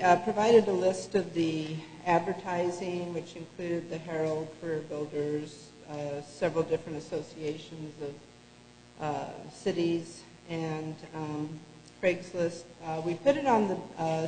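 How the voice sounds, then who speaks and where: Low-voiced woman clerk in echoey hall. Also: a little slow. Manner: steady report broken by frequent "uh" fillers. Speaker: city clerk. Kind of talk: giving a report at a council meeting. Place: council chamber. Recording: echoey hall, faint hum.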